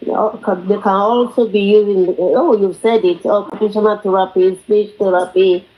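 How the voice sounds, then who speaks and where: A middle-aged Nigerian woman, a native Yoruba speaker, chatting casually in a hesitant, halting way with a Nigerian accent. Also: mid-range voice, fairly even intonation, mellow, a little hiss or hum, low-quality recording. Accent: Nigerian Yoruba-language. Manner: hesitant, halting, often says "you know". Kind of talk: casual chat.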